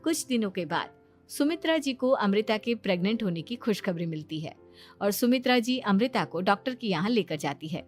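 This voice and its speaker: A woman's voice: mid-range voice, lively intonation, normal speed, faint music behind. A woman reading scripted narration for a story.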